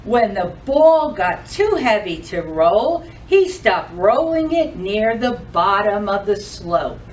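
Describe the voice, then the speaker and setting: A woman hosting a children's storytime, reading aloud and addressing young kids in an expressive, sing-song, deliberate way. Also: mid-range voice, far from the mic, poor audio. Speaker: library storytime host.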